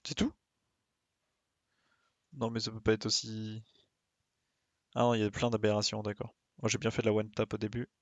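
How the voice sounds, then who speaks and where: A man's voice: fairly low pitch, level tone, moderate pace, long pauses, dry recording. A man doing casual live game commentary, thinking aloud in informal slang and exclaiming as he plays. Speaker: game streamer.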